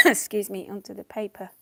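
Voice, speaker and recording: A woman's voice: mid-range voice, lively intonation, normal speed, bright, forceful tone. A woman chatting casually as she paints on a livestream, her voice partly lost and coughing, laughing often and answering comments. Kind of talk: casual chat while painting.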